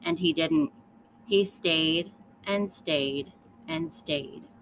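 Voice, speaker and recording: A woman reads a children's story aloud as a schoolteacher would. She speaks to young listeners with emphatic storytelling and a lively, varied pitch. Faint noise is audible behind her. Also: extremely slow, gravelly, poor audio. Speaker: third grade teacher reading aloud.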